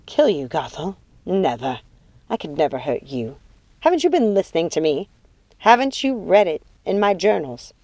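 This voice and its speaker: A woman's voice: mid-range voice, sing-song, moderate pace, darkish tone, dry recording. Imperious witch mother, played by a female narrator: scornful, possessive, commanding, storybook villainess. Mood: tender, possessive. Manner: scornful, commanding, theatrical delivery. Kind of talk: audiobook character acting.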